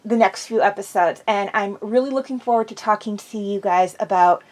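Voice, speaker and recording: A woman's voice: mid-range voice, moderate pace, a little mellow. A woman host chatting casually and enthusiastically through an episode review, slightly congested from a cold.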